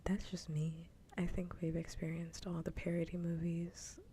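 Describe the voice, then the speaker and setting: A woman speaks in a low, soft and breathy whisper, very close to the microphone as on an ASMR stream. Her tone is dark and mellow, and her chat is casual and frankly opinionated. A faint low rumble sits behind the voice. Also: completely flat delivery, moderate pace. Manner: casual, opinionated, chatty with dry humour.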